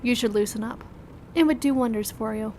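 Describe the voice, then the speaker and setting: A woman's voice: a little high, fairly quick, darkish tone, slight background noise, close to an everyday voice. A woman playing an informal, teasing, inquisitive doctor at a school in an audio drama, speaking casually.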